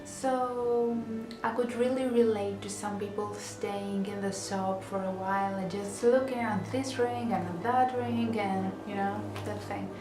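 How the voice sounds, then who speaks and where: Young woman, Greek accent, unhurried speech, echoey bedroom, music playing behind. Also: medium pitch, steady tone. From Greece. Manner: chatty, many fillers and restarts. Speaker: vlogger. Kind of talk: casual vlog chat. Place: small bedroom indoors. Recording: roomy echo, music behind. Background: background music.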